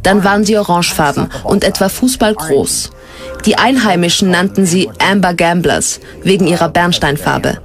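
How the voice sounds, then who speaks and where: A woman's voice: on the low side, lively intonation, moderate pace, soft background music. A woman voicing a researcher's interview commentary for a documentary.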